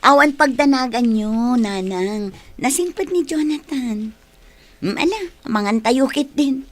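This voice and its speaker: A woman's voice: a little high, animated, measured pace. A woman with an Ilocano accent playing a grateful, tender, forgiving bride in a radio drama. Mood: tender, happy. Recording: radio drama with loud music bed.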